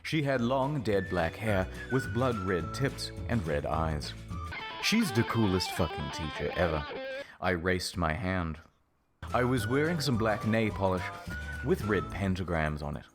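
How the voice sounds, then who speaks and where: A melodramatic, sulky goth teen girl tells her story, played by a man. The delivery is deep and wildly expressive, a mock-serious fan fiction reading over faint music. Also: measured pace. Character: melodramatic, sulky, foul-mouthed teenage goth girl narrator.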